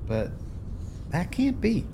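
A man's voice: high voice, wildly swooping pitch, slow pace, creaky, warm tone, husky, exaggerated voice. A man playing a nostalgic, plainspoken American rancher in a radio play. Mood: bewildered. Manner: folksy, plainspoken. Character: wistful male rancher, nostalgic and bewildered.

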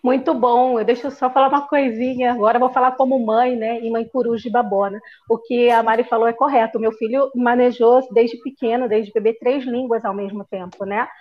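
A woman's voice: a little high, steady tone, brisk, few pauses. A woman from Rio de Janeiro with a Carioca accent, a podcast guest and language teacher chatting casually and dropping many filler tags. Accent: Carioca Brazilian Portuguese. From Brazil (Rio de Janeiro). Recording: dull lo-fi sound.

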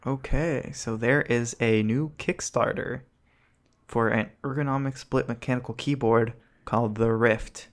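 A man's voice: medium pitch, fairly even intonation, a little slow. A man co-hosting a podcast in a casual, easygoing way. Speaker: podcast co-host.

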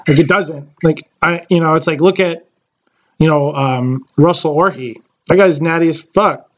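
A man in his late twenties co-hosting a podcast, his voice sitting a little high. He rambles through casual chat full of fillers and restarts. The sound is slightly dull and lo-fi. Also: moderate pace, fairly bright tone. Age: late 20s. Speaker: podcast co-host.

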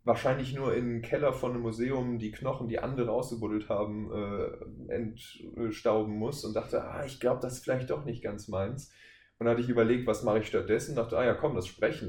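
A man's voice: on the low side, a little mellow. A man in his twenties from Germany talking casually as an interview guest, with a jokey, self-deprecating manner. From Wuppertal, Germany. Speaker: voice actor, podcast guest. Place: indoor room shared with the hosts. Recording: roomy echo, faint background noise.

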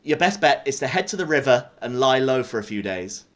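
Man acting a halting, conflicted English soldier. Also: medium pitch, expressive, fairly bright tone, natural voice. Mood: urgent, subdued. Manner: halting, stammering, sweary. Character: conflicted young male militia soldier, awkward then desperate. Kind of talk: naturalistic audio drama acting.

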